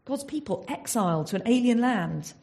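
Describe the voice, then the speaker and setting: Woman, English accent, sermon, earnest teaching, rhetorical questions, very expressive pitch. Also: normal speed, vocal fry. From England. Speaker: Bible teacher.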